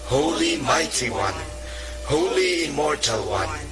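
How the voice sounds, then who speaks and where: A man reciting a prayer as a reader, solemn and measured in his delivery. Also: on the high side, sing-song, a loud crowd.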